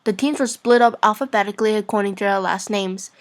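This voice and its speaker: A woman's voice: medium pitch, fast. A woman narrating fan fiction in an informal, amateur reading-aloud style. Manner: giggly, informal.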